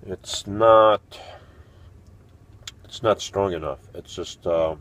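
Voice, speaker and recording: A man's voice: deep voice, takes it slowly, fairly bright tone. A man in his forties making a casual vlog inside a small camper van, thinking aloud with fillers and restarts as he works. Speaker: van life vlogger.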